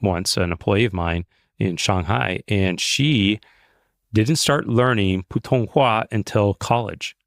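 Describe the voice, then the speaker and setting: A man hosting a podcast interview, curious and quick to react as he asks questions. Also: fairly low pitch, gravelly, dry recording, studio quality. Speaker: podcast host. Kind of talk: casual interview.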